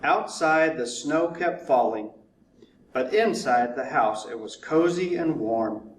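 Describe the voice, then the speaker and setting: A man narrating a children's storybook in a deliberate way, drawing words out for effect. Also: medium pitch, lively intonation. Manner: deliberate, drawn-out repetition for effect. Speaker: fire chief reading to children. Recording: roomy echo, distant mic, faint noise.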